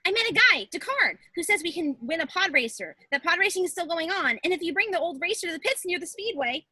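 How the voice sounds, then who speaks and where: A woman plays an eager, headstrong young daughter in a drama table read. Her voice sits high and bright, with lively swings in pitch. She sounds set on getting her way over a video call. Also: fast talker, heavily acted. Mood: urgent. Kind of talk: table read of fan fiction script.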